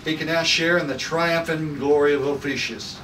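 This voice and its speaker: A man's voice: on the high side, fairly even intonation, moderate pace, fairly bright tone, natural voice. A man reading a solemn, formal professor and guardian at a script table read, in a stately, declamatory manner. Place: room at a group table read. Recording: echoey noisy room, far from mic.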